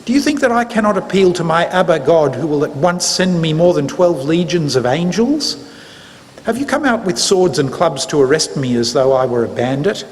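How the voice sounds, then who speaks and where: A man plays a grave, resolute holy teacher in a scripture drama, his voice set fairly high. He declaims in solemn, measured phrases. The sound rings through a large, noisy church. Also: big pitch swings. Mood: firm. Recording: reverberant church hall, background noise.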